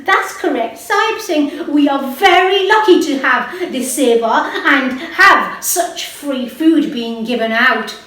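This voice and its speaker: Roomy echo, woman playing patient lady teacher, encouraging, unhurried, simple explanations for children, puppet show. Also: high-pitched, lively intonation, sharp tone, far from the mic, full character voice. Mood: patient, explaining. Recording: very echoey, roomy sound.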